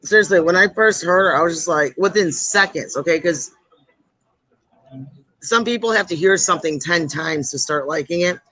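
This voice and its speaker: A woman with a deep voice, a blunt and heavily swearing guest chatting casually about metal. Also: normal speed, fairly bright tone, no echo, rough recording. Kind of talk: casual chat.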